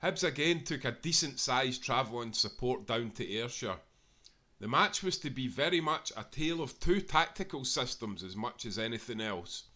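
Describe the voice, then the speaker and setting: A man's voice: medium pitch, expressive, normal speed, pushed and bright. A Scottish man reading aloud a football history tale, earnestly and with small self-corrections along the way. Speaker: narrator.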